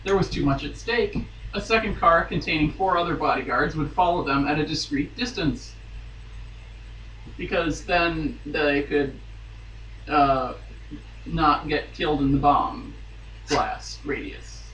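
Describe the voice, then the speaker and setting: A man's voice: a little high, unhurried, long pauses, a bit bright. A man giving a theatrical dramatic reading of a romance novel, dropping into amused asides. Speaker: guest reader on a podcast. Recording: roomy, distant mic, faint noise.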